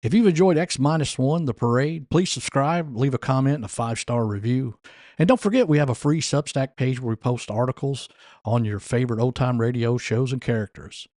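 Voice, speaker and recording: A male host reading scripted sign-off narration. His voice is mellow and medium-pitched, recorded very dry. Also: brisk, no echo. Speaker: channel host.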